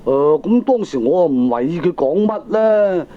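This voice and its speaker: A man playing an excitable, talkative middle-aged father in a Hong Kong Cantonese comic radio drama, earnest and emphatic. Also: fairly high pitch, normal speed, close to an everyday voice. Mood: excited, insistent. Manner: emphatic, chatty, exaggerated delivery. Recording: dull lo-fi cassette recording.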